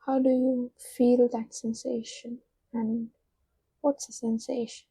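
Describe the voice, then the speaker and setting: A woman guides a meditation in a soft, fairly high voice. Her delivery is slow and evenly pitched, with little rise or fall. She gives gentle, soothing instructions and leaves long pauses between them. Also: level tone, creaky, husky, no echo.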